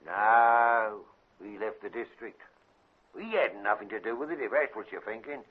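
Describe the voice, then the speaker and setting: A man's voice: on the low side, moderate pace, phone-quality sound, natural voice. An actor playing a blunt, suspicious working-class man with an English accent and slangy speech in a radio drama. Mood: gossipy. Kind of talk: British radio drama acting. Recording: thin, band-limited old radio drama.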